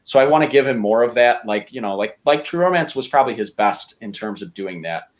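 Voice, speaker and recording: A man's voice: mid-range voice, animated, a little fast. A man speaking in a casual debate style as a podcast contestant, rambling and opinionated as he argues his case. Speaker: podcast contestant pitching movie ideas.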